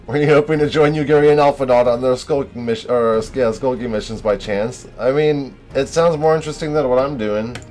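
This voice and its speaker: A man's voice: medium pitch, fairly even intonation, fairly quick, a little mellow. A man streaming a game live, reading the dialogue aloud, restarting words and swearing and laughing in asides.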